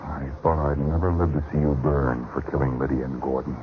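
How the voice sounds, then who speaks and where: A man's voice: rumbling low, fairly even intonation, brisk. A man playing a grimly determined avenger confronting a killer in an old radio drama. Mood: grim, relieved. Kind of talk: 1950s radio drama acting. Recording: muffled, noisy old radio recording.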